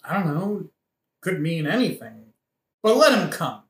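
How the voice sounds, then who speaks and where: A man playing a hot-headed young nobleman bent on revenge in a modern satirical audio play, excitable, blunt and colloquial. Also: on the high side, a little slow, echoey room. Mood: guarded, vengeful.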